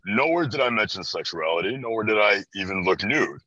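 Bright, forceful young American man, lower-pitched. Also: animated, fairly quick, no echo. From Chicago, USA. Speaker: interview guest. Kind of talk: casual chat. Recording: dry, slightly dull band-limited audio.

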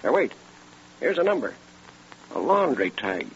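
A man's voice: medium pitch, very animated, a little slow. A man playing an eager, observant policeman in 1930s radio style, reporting keenly and emphatically. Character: eager, observant male policeman assisting inspector. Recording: dull, faintly noisy 1930s radio recording.

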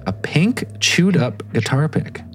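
A man's voice: on the high side, very animated, slow pace. A man hosting a call-in show, chatting casually and joking with callers and co-hosts. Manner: laid-back, joking. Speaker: host.

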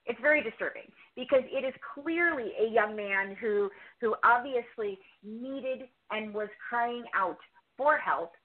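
A woman's voice: on the high side, unhurried. A woman podcast host giving reflective, conversational commentary as an interview guest, leaning on repeated words for emphasis. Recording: band-limited, muffled call audio.

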